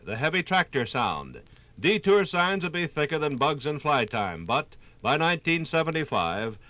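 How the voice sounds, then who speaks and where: Dramatic, forceful male vintage radio narrator. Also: a little high, dry recording. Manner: dramatic, oratorical. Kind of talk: 1950s scripted radio documentary narration. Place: radio studio.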